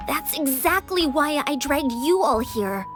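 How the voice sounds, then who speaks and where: A woman's voice: high-pitched, expressive, slow, over music. A woman voicing a young, earnest girl warrior in a video game, worried and apologetic in manner. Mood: remorseful.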